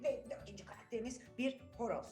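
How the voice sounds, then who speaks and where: A woman's voice: on the high side, quick pace, darkish tone, soft background music. A woman storyteller narrating a fairy tale to children, putting questions to listeners and repeating phrases for suspense.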